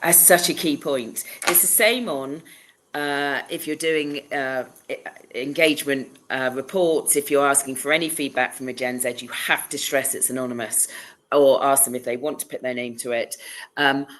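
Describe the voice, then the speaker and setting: A woman with a cold, sniffling, answering as a consultant on a webinar panel over a video call, chatty and animated. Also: extremely low pitch, normal speed. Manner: animated, chatty, self-deprecating.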